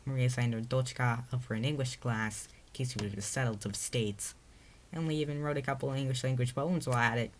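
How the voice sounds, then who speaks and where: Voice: mid-range voice, quick pace, slight background noise. A narrator reading their own story aloud in a storybook read-aloud style.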